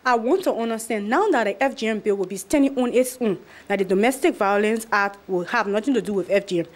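A woman reporter puts plain, direct questions to a panel. Her voice sits at a medium pitch. She speaks at an even, businesslike pace. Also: fast talker. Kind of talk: press question.

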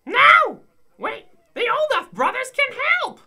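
A woman playing an excitable bird person who promotes cars, shrill and squawking, in a comedic audio drama. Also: slow pace, heavily acted. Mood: distraught.

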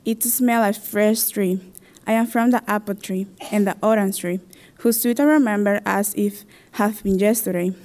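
A woman's voice: mid-range voice, dark tone. A teenage girl from El Salvador reciting a poem into a podium microphone. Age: teens. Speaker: student poet. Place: school stage at a podium. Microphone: gooseneck podium microphone.

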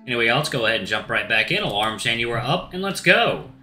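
Male gaming host, sick and hoarse, very bright tone, animated reading, roomy sound with faint music behind. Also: mid-range voice, fast talker. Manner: casual, says y'all, restarts lines. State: sick, voice deeper than usual. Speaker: Let's Play host. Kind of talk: reading a visual novel aloud.

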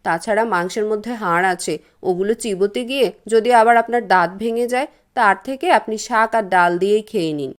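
A woman voicing a curt, grudging young housewife in a cartoon, with a brisk, scolding manner. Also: medium pitch, clean tone, close to an everyday voice. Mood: slyly dismissive. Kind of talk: cartoon voice acting.

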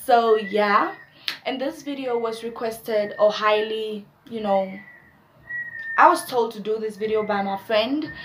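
A woman in her twenties with a Namibian accent, chatting casually to viewers in a vlog with animated slangy asides. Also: a little high, a little slow, noisy background. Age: 20s. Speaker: YouTuber.

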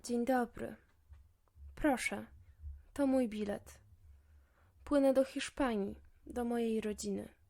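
A woman voicing a gentle female flamingo star with a polite, subdued manner in a children's storybook. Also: a little high, fairly even intonation, takes it slowly, gravelly, long pauses, no echo, clean studio sound. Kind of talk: children's audio storybook acting.

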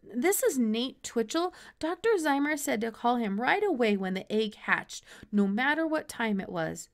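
A woman voicing an eager, earnest young farm boy in a storybook, excitable and breathlessly run-on in his talk. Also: on the high side, unhurried, voice pushed up. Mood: eager, polite. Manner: exclamatory, run-on, earnest delivery.

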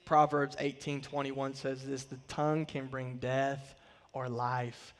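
A man in his thirties preaching a sermon from a church stage, swelling on key words and dropping to quiet line endings. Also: medium pitch, level tone, takes it slowly, big-room sound. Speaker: pastor. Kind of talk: sermon with scripture reading. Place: large church stage, indoors.

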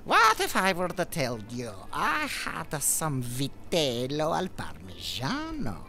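A man's voice: fairly high pitch, wildly swooping pitch, slow, sharp tone, a little hiss or hum, heavily acted. A man voicing a talking Campari bottle, a pushy mobster shopkeeper who talks in menacing wiseguy patter full of uh fillers. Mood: sly. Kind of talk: comedy audio drama acting.

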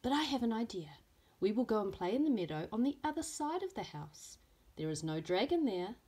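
A woman's voice: fairly high pitch, big pitch swings, brisk, darkish tone, husky, voice pushed up. A woman voicing a big whiskery lion in a storybook, plain-spoken and dramatic. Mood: calm, friendly.

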